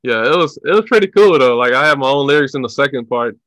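Bright-voiced man on a headset call. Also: medium pitch, expressive, no echo. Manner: halting, repeats words, laughs easily. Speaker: podcast host. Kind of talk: casual video-call interview. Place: small home room. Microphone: headset on a video call.

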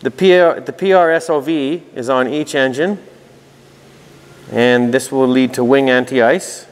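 A man giving an informal technical walkthrough, reading aloud and thinking out loud with dry asides. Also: medium pitch, unhurried. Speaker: host of a technical explainer video. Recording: reverberant room with long echo.

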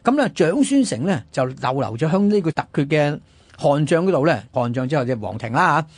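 A man with a Hong Kong Cantonese accent telling history conversationally as a radio host, animated and fond of rhetorical questions. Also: a little high, a little fast, slightly rough, no room sound. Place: radio studio.

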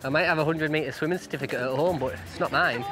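A man's voice: fairly high pitch, music in the background. A man, a jokey contestant on a survival show. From North East England. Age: 20s. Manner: quick, jokey.

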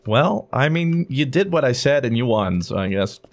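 A man of average pitch talks through an online game with very lively intonation. He is bossy and mock-serious while bantering casually with friends. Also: normal speed. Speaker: video game player.

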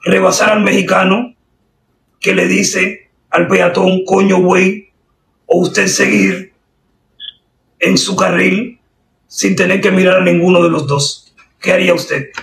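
Creaky deadpan Cuban woman, roomy sound. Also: fairly low pitch, slow, long pauses. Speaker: prank caller posing as driving examiner. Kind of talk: prank phone call, improvised quizzing. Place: indoors at a desk. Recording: roomy, distant sound with faint noise.

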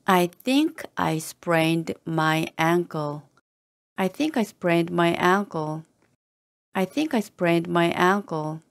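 A woman with a slightly low voice reads short sentences at a slow, deliberate pace. She stays calm and even, saying each line the same way again and again. Every word comes out carefully for learners to copy. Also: vocal fry. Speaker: English teacher reading model sentences.

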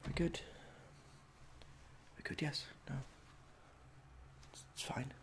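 A young man doing a soft-spoken ASMR roleplay as a medical examiner, halting and awkwardly polite, in a small room at home. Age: young adult. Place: small domestic room indoors.

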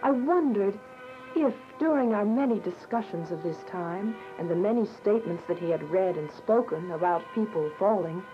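A woman's voice: mid-range voice, animated, moderate pace, lightly acted. A woman playing a devout, earnest young believer, narrating with emotion in a radio drama. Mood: grave, hurt. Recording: muffled audio with music bed.

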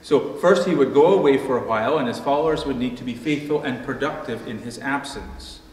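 Middle-aged pastor preaching in echoey church. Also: male voice, medium pitch, a little mellow. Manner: measured preaching, slowing at phrase ends. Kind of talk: sermon. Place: church sanctuary at a pulpit. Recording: echoey hall, faint room noise.

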